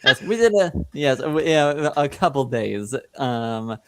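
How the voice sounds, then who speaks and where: A man's voice: mid-range voice, expressive, takes it slowly, a little hiss or hum. A man hosting as game master, recapping casually in a hesitant, wry, amused way.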